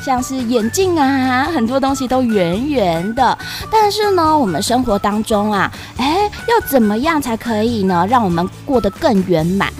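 A woman with a Taiwanese Mandarin accent, hosting a children's story show in a playful, sing-song way with drawn-out particles. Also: a little high, lively intonation, faint music behind. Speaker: storyteller host.

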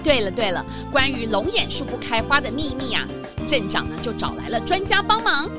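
A woman tells a children's story in a lively, sing-song voice that sits a little high. Her delivery is warm and gentle for young listeners. Loud children's music plays underneath. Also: a little fast. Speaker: narrator.

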